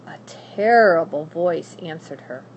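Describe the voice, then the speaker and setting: A woman narrating a children's story aloud in a dramatic, expressive and deliberate way. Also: deep voice, dull audio. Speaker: school staff member reading aloud. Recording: faint background noise.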